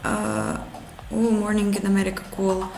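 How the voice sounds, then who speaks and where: A woman from Russia with a Russian accent, a game engine developer who thinks aloud on a live coding stream and answers chat in halting, self-correcting English. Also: mid-range voice, sing-song, gravelly. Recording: roomy with music playing behind.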